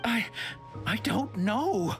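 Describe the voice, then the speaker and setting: A man's voice: high voice, lively intonation, drawn-out words, fairly bright tone, faint music behind, exaggerated voice. A man voicing a nervous captured male thug in a video game, stammering as he speaks.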